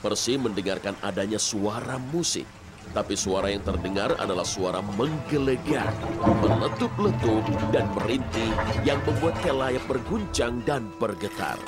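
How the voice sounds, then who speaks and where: A man's voice: lowish voice, animated, moderate pace. A man narrating a scripted children's story expressively. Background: music.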